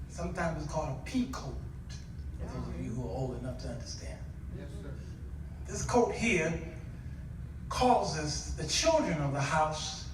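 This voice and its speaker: Older guy preaching in a noisy echoey church, kinda high voice, storytelling style, far off mic. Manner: dramatic pauses, acts out homely dialogue. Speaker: guest preacher. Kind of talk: conversational storytelling sermon. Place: church, picked up from a distance. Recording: echoey room, distant mic, noisy background.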